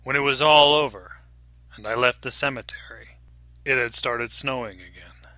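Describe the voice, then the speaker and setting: A man reading a horror story in a measured, solemn, dramatic narration that drops softly at the ends of phrases. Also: mid-range voice, dry recording. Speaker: story reader.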